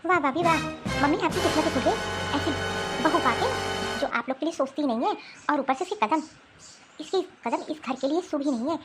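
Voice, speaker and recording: Spiteful cartoon sister-in-law, played by a woman: very high voice, accusatory, needling, complaining. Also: lively intonation, moderate pace, music in the background. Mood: taunting. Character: spiteful, meddling adult sister-in-law, scornful troublemaker. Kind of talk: Hindi cartoon family drama acting.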